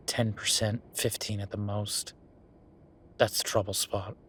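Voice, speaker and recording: Low-voiced, weary werewolf boyfriend played by a man. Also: lightly acted. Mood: weary, frustrated. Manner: conversational, sighing, world-weary. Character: weary, frustrated male werewolf official, affectionate boyfriend. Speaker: voice actor in audio roleplay. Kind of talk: intimate boyfriend roleplay audio acting. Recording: clear background noise.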